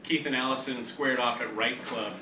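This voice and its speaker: A man's voice: mid-range voice, fairly even intonation, moderate pace. A man with a wry, self-deprecating manner, hosting and reading aloud to a live audience. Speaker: co-host of a reading series, poet. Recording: echoey room, distant mic, noisy live audience.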